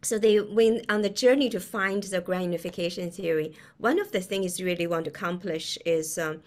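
Low-pitched woman with a Chinese accent. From China. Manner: halting, often chuckles while speaking. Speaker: guest, quantum physicist. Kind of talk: conversational interview explanation. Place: indoor room, video call.

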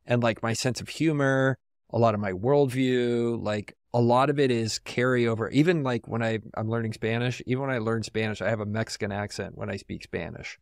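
A man's voice: mid-range voice, brisk, vocal fry, clean tone, dry recording, clean studio sound. A man from San Antonio, a podcast guest talking reflectively in a casual, rambling way with lots of "like.". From San Antonio, Texas.

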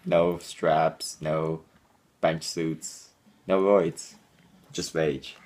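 A man's voice: very deep voice, flat delivery, drawn-out words, a little mellow. A man in his twenties co-hosting a fitness channel, cracking jokes in casual chat in a small room. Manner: joking, tosses in quips and movie quotes. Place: small indoor room at home.

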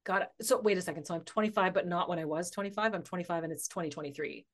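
A woman in her forties chats as a podcast guest, thinking out loud and laughing often. Her voice sits a little low and swings widely between hushed asides and bright bursts. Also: fast talker. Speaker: podcast guest, author and coach. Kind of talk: casual interview chat.

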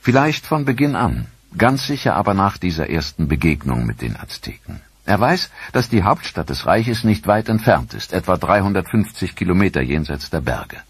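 Very deep, husky documentary narrator. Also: male voice, normal speed, no echo. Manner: dramatic, expressive narration with rhetorical questions.

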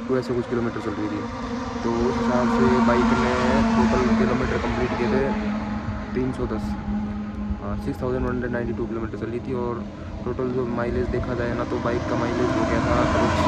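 A young man talks casually to his phone outdoors by a highway. His delivery is extremely monotone and halting, with frequent repeats. Loud traffic and open-air noise sit behind his voice. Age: young adult. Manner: halting, repeats himself while working out figures. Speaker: motovlogger. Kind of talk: casual vlog chat to camera. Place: outdoors under a tree beside a highway. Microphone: phone at arm's length.

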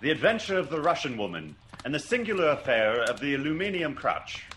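An actor playing a wry, teasing gentleman detective in a radio drama, with a coaxing, theatrical delivery. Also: fairly high pitch, very animated, fairly quick, slight background noise. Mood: coaxing, intrigued.

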